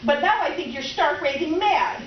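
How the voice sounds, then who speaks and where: A woman's voice: high voice, animated, normal speed. A woman playing a dramatic, scatterbrained middle-aged houseguest who rambles and overshares in a comic stage reading. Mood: confiding. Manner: rambling, self-absorbed, theatrical. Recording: distant echoey room, muffled, faint noise.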